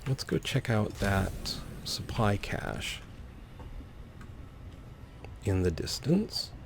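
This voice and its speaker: A man's voice: on the low side, big pitch swings, drawn-out words, creaky, slight background noise. A man giving casual gameplay commentary, thinking aloud in a whimsical, musing way. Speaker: let's player, host.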